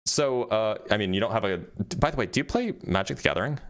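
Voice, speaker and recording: Low-voiced man hosting a casual debate. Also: animated, fast. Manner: patient, explanatory, frequent restarts. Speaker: debate host.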